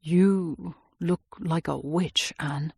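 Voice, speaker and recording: A woman voicing an anguished young man on the run, who swings from vehement outbursts to hushed, tender pleading in a husky, breathy voice. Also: low-pitched, steady tone, drawn-out words, dry recording, studio quality, voice pushed down, exaggerated voice. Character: anguished young man, hunted fugitive, passionate and brooding.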